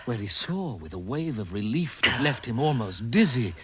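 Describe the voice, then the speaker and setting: A man narrating a vintage radio drama as a measured, dramatic storyteller. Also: medium pitch, very animated, close to an everyday voice. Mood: suspenseful. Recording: slightly noisy vintage 1960s radio recording.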